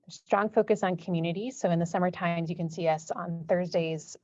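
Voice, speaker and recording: A woman's voice: on the low side, fairly even intonation, fairly quick, darkish tone, dry recording, muffled recording. A woman, an associate program director, giving a friendly webinar talk. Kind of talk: webinar presentation. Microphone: video call.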